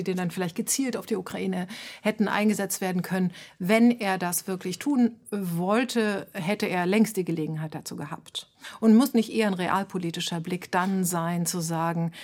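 Female moderator, mid-range voice; journalistic, probing, builds long layered questions for a panel. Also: mid-range voice. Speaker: debate moderator.

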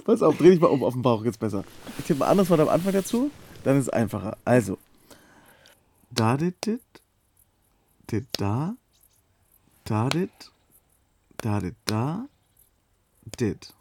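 An actor playing a gentle, hesitant young husband and resistance radio operator in a naturalistic radio drama, speaking haltingly. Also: male voice, medium pitch, very animated, vocal fry, a little hiss or hum. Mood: playful, focused. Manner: halting, stammering.

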